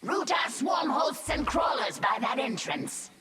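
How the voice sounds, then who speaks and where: Commanding swarm officer, animated pitch. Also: female voice, unhurried. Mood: stern, urgent. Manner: clipped military orders and reports. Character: commanding female alien swarm officer, stern and vigilant. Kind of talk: video game mission dialogue. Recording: loud music behind the voice.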